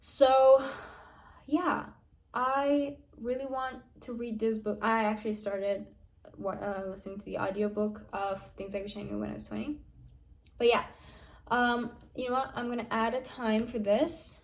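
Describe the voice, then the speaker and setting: A young woman in her late teens talks straight to her viewers, chatty and loose. Her voice sits fairly high. She stops and restarts often and fills gaps with "like" and "um". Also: little pitch movement, a little slow. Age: young adult. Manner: chatty, many fillers and restarts. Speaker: vlogger. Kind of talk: casual planning vlog.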